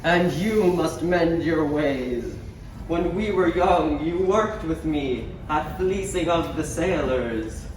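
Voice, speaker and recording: A chained, grumbling ghost played by a man recites comic pantomime rhymes in a fairly high male voice. The delivery is slow and theatrical, heard from far off on a noisy stage. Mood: haunting, comic. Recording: distant, echoey stage recording with noise.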